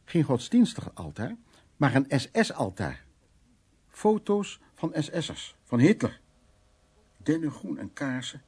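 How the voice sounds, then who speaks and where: Husky, muttering male art restorer. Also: a little high, sing-song, takes it slowly, pauses often, a little mellow, full character voice. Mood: agitated recollection. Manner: hesitant, repetitive, muttering to himself. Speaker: actor in a radio play. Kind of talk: Dutch radio drama acting, dialogue and soliloquy.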